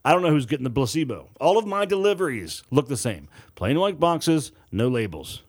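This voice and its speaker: A man's voice: a little high, sing-song, a little fast. A man voicing a flirtatious, sardonic woman nurse in an audiobook, with teasing, emphatic delivery. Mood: disappointed. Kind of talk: audiobook character dialogue.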